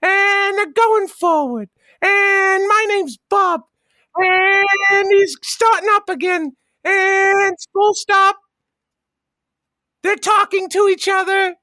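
A woman voicing an odd, dim little Boston boy with childish, simple, deadpan statements in improvised comedy. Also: very high-pitched, takes it slowly, dry recording, clean studio sound, heavily acted. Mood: excited, earnest. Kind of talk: improvised comedy character interview.

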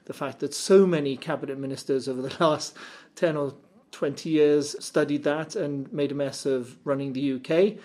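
A man's voice: medium pitch, a little mellow. A middle-aged Welsh man, a native Welsh speaker, giving articulate, reflective interview answers with a wry touch. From Cardiff, Wales. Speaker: guest, journalist and communicator.

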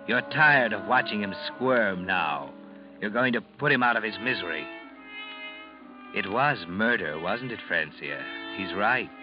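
An actor playing an omniscient, sinister narrator in an old radio thriller, speaking in an ominous, accusing way. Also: male voice, lowish voice, animated, measured pace. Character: omniscient sinister male narrator addressing the guilty woman. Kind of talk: 1940s radio thriller narration, second person. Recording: muffled vintage radio recording, loud music. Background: dramatic musical score.

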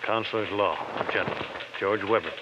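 An actor playing a prim, evasive bank official who talks pompously and formally and trails off into a mumble, in an old radio drama. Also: male voice, lowish voice, fast talker, natural voice. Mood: stuffy, evasive. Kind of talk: 1950s radio crime drama acting. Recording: muffled, noisy old radio recording.

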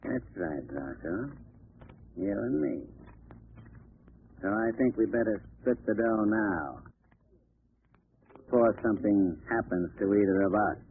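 An actor playing a shifty, scheming crooked lawyer, sly and insinuating, in 1940s radio drama style. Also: male voice, lowish voice, expressive, unhurried, long pauses, slightly rough, full character voice. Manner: sly, insinuating, hesitant under pressure. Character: shifty, scheming male crooked lawyer, smooth until cornered. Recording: tinny old radio with faint hiss.

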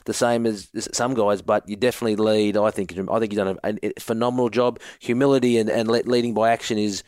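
A man's voice: lowish voice, little pitch movement, quick pace, darkish tone, no room sound, clean studio sound. Matey man co-hosting. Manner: matey, encouraging, says mate often. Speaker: podcast co-host. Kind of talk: casual interviewing.

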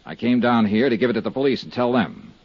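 A man's voice: mid-range voice, fast, breathy edge, no echo, lightly acted. An American man playing a world-weary, candid insurance investigator in a 1950s radio detective drama, speaking in plain, clipped hard-boiled style. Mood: matter-of-fact. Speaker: lead actor and narrator of a detective serial. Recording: muffled, dry 1950s radio recording.

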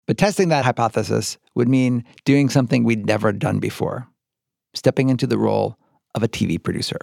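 A man's voice: mid-range voice, a little fast, vocal fry, a little mellow, dry recording, clean studio sound. An American man hosting and narrating a podcast in a scripted but conversational storytelling style.

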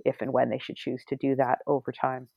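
Middle-aged woman author, reflective, halting interview answers, dry close mic. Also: a bass voice, monotone, creaky, slightly rough, no room sound, lo-fi sound. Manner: reflective, many restarts and hedged pauses.

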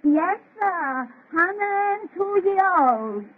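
A woman's voice: extremely high pitch, expressive, extremely slow, heavily acted. An actress playing a gleeful, folksy hundred-year-old witch who tells horror stories on an old radio show. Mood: gleeful, sinister. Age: older. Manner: folksy, rustic, gloating. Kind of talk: 1930s radio horror host acting. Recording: thin, tinny old radio recording.

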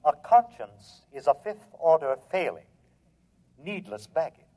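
An actor playing an emotionless, menacing alien machine in an old-time radio drama, speaking formally and without hurry. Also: male voice, fairly high pitch, very animated, a little slow, a little hiss or hum. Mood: cold, calm. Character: cold, polite alien humanoid machine, calmly menacing. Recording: muffled vintage radio recording.

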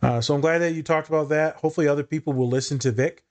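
A man hosting a podcast, a therapist, talking in a relaxed, chatty, joking way. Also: a little high, racing. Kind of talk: casual podcast chat.

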